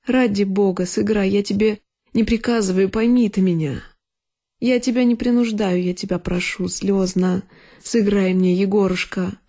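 A woman's voice: mid-range voice, warm tone, lightly acted. A woman voicing a sentimental, stubborn male landowner in an audio play, earnest, insistent and fatherly. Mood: tearful pleading. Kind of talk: dramatic literary monologue in audio play.

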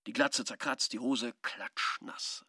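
A man's voice: medium pitch, steady tone, takes it slowly, sharp tone, slightly rough, dry recording, studio quality, full character voice. An actor playing a shrewd, nosy man thinking aloud as he eavesdrops, musing in clipped phrases in a radio drama. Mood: tense, watchful. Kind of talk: radio drama interior monologue.

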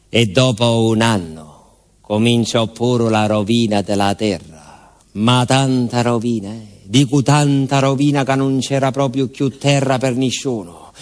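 A man's voice: medium pitch, lightly acted. A male actor playing a folksy village postman with a Southern Italian accent, telling his story in a rambling, colloquial theatrical monologue full of asides. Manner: colloquial, digressive, with rhetorical asides and repetitions.

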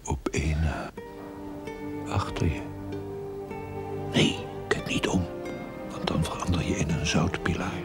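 Droge plaaggeest met zeer diepe stem. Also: male voice, rumbling low, fairly even intonation, a little slow, long pauses, over loud music. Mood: dry, reassuring. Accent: Dutch. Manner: hushed, dry, sardonic. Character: wry, teasing confidant of a troubled priest. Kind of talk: television period drama acting.

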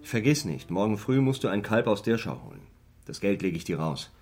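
An actor playing a gruff, old-fashioned, middle-aged small-town father in a radio drama. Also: lowish voice, very animated, fairly quick, darkish tone, heavily acted. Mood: matter-of-fact. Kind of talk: German radio drama acting.

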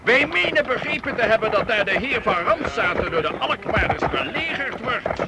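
A man playing a shrewd, sceptical medieval count in an old radio play, measured and commanding. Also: high voice, big pitch swings, loud music behind the voice. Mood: shrewd, probing. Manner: measured, questioning, lordly.

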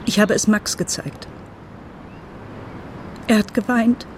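An actress playing a middle-aged, troubled mother, narrating a radio drama in a measured, introspective way. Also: mid-range voice, fairly even intonation, noisy background, natural voice. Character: troubled, watchful mother telling her story. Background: music.

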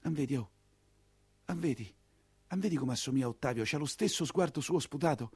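A man's voice: fairly high pitch, fairly quick, a little mellow, breathy edge, dry recording. An actor playing an earthy Roman coachman, telling his story in a colloquial, wry theatrical monologue with a Roman accent. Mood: gentle, concerned. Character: earthy Roman coachman narrator, plain-spoken, compassionate working man.

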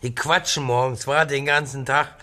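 An actor in a radio play as a drunken, cranky, coarse tenant who rambles and jeers. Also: male voice, medium pitch, fairly even intonation, normal speed, pushed and bright, lightly acted. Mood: jeering, provoking. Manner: blunt, coarse, jeering, swinging between barking and muttering. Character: drunken, cranky, rambling jobless male tenant, newly widowed. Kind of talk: radio drama acting.

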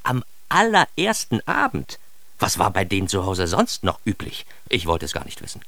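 Wry, neurotic radio-drama narrator: standard German, lowish, very animated, dry close sound. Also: male voice, moderate pace, no room sound, full character voice. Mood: bemused. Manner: wry, exasperated, theatrical. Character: self-mocking, neurotic man recounting his own childhood.

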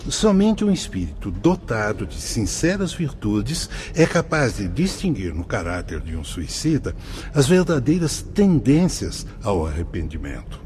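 An actor playing a learned, patient spirit guide in a radio drama, speaking formally, emphatically and didactically. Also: male voice, fairly low pitch, very animated, a little slow, a little mellow, a little hiss or hum. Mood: patient, firm. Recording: music behind the voice.

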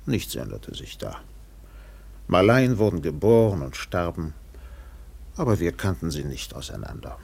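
An actor playing a seasoned sea captain who narrates a radio play in a measured, deliberate way with swelling emphasis. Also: male voice, low-pitched, vocal fry, breathy edge, full character voice. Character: weathered sea captain recalling a doomed trader in Borneo.

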